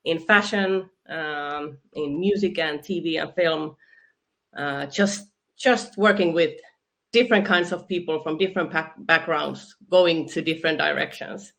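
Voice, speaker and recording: Bright-voiced Finnish woman, enthusiastic guest. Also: low-pitched, a little slow, vocal fry. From Finland. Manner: enthusiastic, laughs often, restarts words. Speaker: interview guest. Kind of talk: conversational interview.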